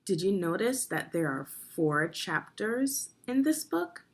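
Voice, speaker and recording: A woman narrating an instructional children's read-along as a teacher, phrasing deliberately and guiding young listeners through the text. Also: mid-range voice, sing-song, breathy edge. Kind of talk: instructional read-aloud of a children's nonfiction book.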